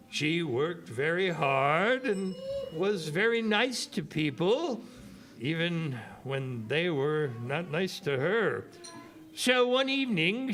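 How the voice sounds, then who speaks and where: Man playing a slow, high-voiced fussy fairy godparent. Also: fairly bright tone, exaggerated voice. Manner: theatrical, hesitant, explaining to children. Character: fussy, kindly fairy godparent and academy teacher. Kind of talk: children's audio theater storytelling.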